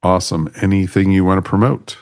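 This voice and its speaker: A man's voice: low-pitched, fairly even intonation, fairly quick, darkish tone. A man hosting a podcast in casual, chummy chat, addressing everyone like friends. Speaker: podcast host.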